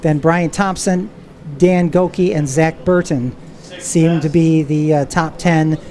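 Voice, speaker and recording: A woman's voice: deep voice, fairly even intonation, takes it slowly. A woman giving live stock car race commentary as a trackside announcer. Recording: loud vehicle noise behind. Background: race cars and trackside noise.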